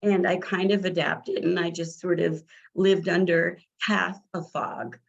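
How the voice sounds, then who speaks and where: A middle-aged woman from New Jersey with a scratchy, tired voice, telling her own adoption story slowly, with many fillers and restarts. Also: lowish voice, flat delivery, creaky. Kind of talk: personal account. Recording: dull lo-fi audio.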